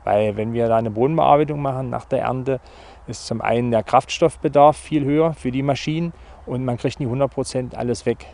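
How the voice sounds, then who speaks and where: Farmer guy in an interview, dark gravelly voice, halting and matter of fact. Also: medium pitch. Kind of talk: unscripted interview explanation.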